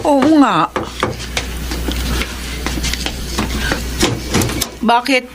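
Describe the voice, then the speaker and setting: A worried middle-aged mother in a radio drama. Her voice is fairly high and lively in pitch, anxious and faltering, over clearly audible background noise. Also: takes it slowly. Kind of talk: Tagalog radio drama acting.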